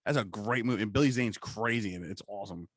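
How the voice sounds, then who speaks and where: A man's voice: fairly low pitch, fast talker, dry recording, clean studio sound. A man co-hosting a podcast, chatting casually in a profane, opinionated fan's banter. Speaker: podcast co-host. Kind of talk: casual pop-culture chat.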